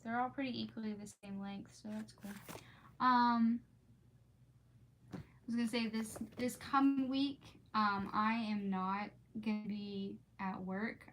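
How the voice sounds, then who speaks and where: A woman streamer who reads storybooks aloud and chats casually with viewers in an informal, gushing, rambling way. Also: medium pitch. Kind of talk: storybook read-aloud and casual livestream chat. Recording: clearly noisy background.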